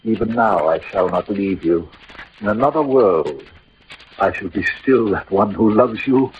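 Old radio play: male actor for a dying romantic swordsman, low, poetic and halting, on a dull, noisy recording. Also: close to an everyday voice. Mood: tender, grieving. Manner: theatrical, halting, poetic delivery. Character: ailing, gallant middle-aged romantic swordsman-poet hiding his love. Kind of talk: 1940s-50s radio drama acting.

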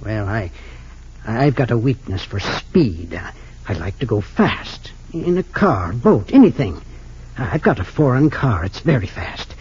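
Elderly wealthy father, suspicious, halting, husky, medium pitch, old-time radio drama, lo-fi. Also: sing-song, darkish tone, exaggerated voice. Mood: bitter, suspicious. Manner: hesitant, earnest, slightly halting. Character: wealthy, suspicious 68-year-old father, bitter about his sons. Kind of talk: 1940s-50s radio drama acting. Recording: dull lo-fi old radio recording.

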